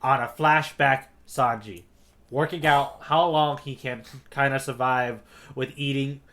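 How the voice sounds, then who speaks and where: A man's voice: mid-range voice, fairly even intonation, measured pace, a bit bright. A man co-hosting a podcast, recapping a story informally and checking in with his co-host as he goes. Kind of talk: casual conversational story recap.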